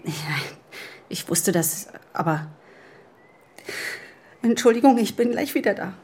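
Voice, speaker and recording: A woman playing a world-weary, bereaved fiancée in a German audio drama, with a husky voice. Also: mid-range voice, expressive, takes it slowly, darkish tone. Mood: grieving, subdued.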